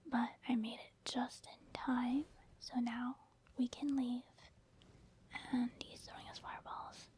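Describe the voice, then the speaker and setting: A woman giving casual, rambling ASMR commentary on her video game play in a breathy whisper, explaining her thought process. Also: a little high, flat delivery, takes it slowly, a little mellow. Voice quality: breathy, mostly unvoiced whisper. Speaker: gaming ASMR creator.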